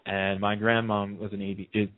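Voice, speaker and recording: A man's voice: on the low side, level tone, normal speed, no echo. A man chatting casually as a guest in a radio interview, telling stories in a talkative way. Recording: narrow, tinny phone-line sound.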